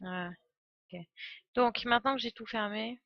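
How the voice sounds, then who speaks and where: A woman's voice: on the high side, a little slow, no room sound, dull audio. A woman doing casual live video game commentary, playful and joking, with slangy talk. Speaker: game streamer. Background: faint video game music and dialogue.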